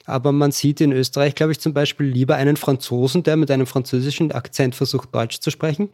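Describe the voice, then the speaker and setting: A man with an Austrian accent, a podcast guest explaining things casually in careful, deliberate High German meant for learners. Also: steady tone, a little mellow, dry recording, clean studio sound.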